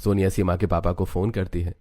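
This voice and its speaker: A man's voice: low voice, level tone, fairly quick, warm tone. A man reading scripted narration for a moral story as its storyteller.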